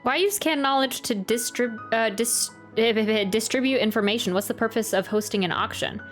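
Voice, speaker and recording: Chatty high-voiced woman streamer. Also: moderate pace, soft background music. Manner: chatty, rambling, jokey asides. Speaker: streamer reacting to a video game. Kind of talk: casual reaction commentary.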